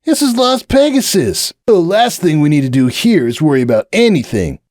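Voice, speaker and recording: A man's voice: on the high side, big pitch swings, normal speed, darkish tone, pitched above the performer's own voice. A man voicing a cocky, rowdy college-age stallion athlete with a smug, drawling, mocking manner.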